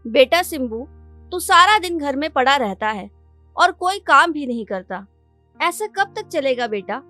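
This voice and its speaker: A worried village mother played by a woman in a cartoon, nagging and pleading in a high voice. Music sits behind her. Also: lively intonation, unhurried, bright, forceful tone, no room sound, heavily acted. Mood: worried, scolding. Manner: pleading, admonishing. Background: background music.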